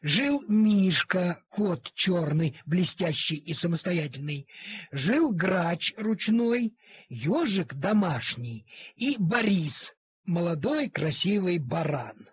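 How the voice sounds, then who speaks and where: A man's voice: sits high, expressive, slow pace, slightly rough. A man narrating a story expressively, in a theatrical way, drawing out key words.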